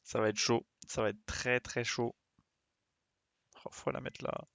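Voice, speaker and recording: A man's voice: medium pitch, fairly even intonation, measured pace, vocal fry, a bit bright, no room sound. A man giving casual live commentary as he plays a game, muttering short interjections as he thinks aloud. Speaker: game streamer.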